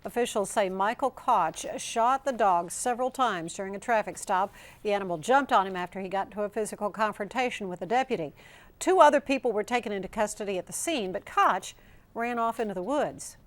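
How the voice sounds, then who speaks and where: A woman's voice: mid-range voice, brisk. A woman reading scripted television news as an anchor in a studio.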